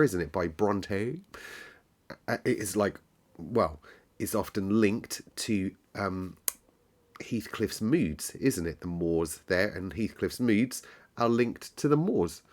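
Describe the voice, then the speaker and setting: A man hosting a story read-aloud, speaking in a conversational, musing way with listeners. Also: sits low. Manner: musing, conversational, thinking out loud. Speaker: storytelling host. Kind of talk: story read-aloud and casual chat with viewers.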